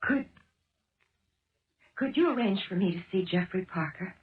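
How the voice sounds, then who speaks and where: An American actress playing a troubled, determined detective's wife who pleads hesitantly in a 1970s radio drama. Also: female voice, medium pitch, expressive, moderate pace, close to an everyday voice. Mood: hesitant, guarded. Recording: tinny old radio broadcast.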